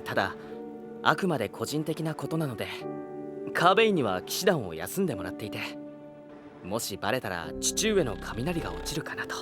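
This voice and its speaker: A man playing the well-mannered, guarded young noble who hands out a quest in a fantasy game cutscene, soundtrack swelling loudly behind him. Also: mid-range voice, sing-song, natural voice. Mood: polite, composed. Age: young adult. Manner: courteous, measured, gently guarded. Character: polite, composed young knight commander's son. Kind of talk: Japanese video game voice acting. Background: loud background music.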